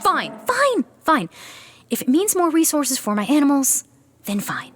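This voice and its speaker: An actress playing an exasperated, sardonic young animal keeper in an audio drama, with a snippy, dramatic, grumbling manner. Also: female voice, sits high, huge pitch swings, a little slow, a bit bright, slight background noise, heavily acted. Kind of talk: audio drama character acting.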